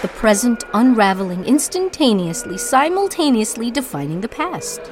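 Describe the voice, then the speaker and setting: Woman narrator, theatrical spoken word, incantatory deliberate phrasing, lively swooping intonation, soft music underneath. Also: fairly high pitch. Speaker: narrator and performer. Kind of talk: dramatic spoken-word monologue.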